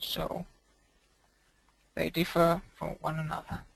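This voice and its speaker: A man presenting a software tutorial as a screencast voice-over, speaking haltingly in short broken phrases. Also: on the high side, monotone, creaky, husky, dry recording, low-quality recording.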